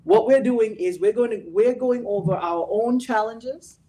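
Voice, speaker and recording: Motivational host, set back from mic. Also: male voice, high-pitched, measured pace, mellow, distant mic. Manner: motivational, repeats "right?". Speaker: show host. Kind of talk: casual chat.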